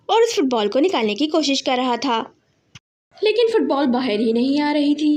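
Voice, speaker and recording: A woman reading a scripted story for children as its narrator. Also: high voice.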